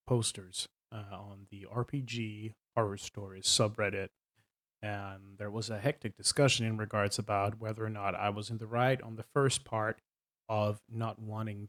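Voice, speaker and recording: A man's voice: lowish voice, fairly bright tone. A man in his thirties with a Swedish accent, explaining himself to viewers in a casual, hesitant way, full of ums and restarts. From Sweden. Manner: hesitant, many ums and uhs, self-correcting. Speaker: game master.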